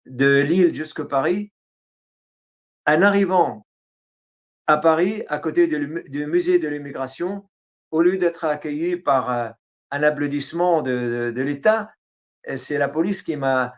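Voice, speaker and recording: Arabic-accented man recounting his past. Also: on the high side. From Syria. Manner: halting, frequent fillers and restarts. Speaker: interview guest, writer. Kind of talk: autobiographical interview.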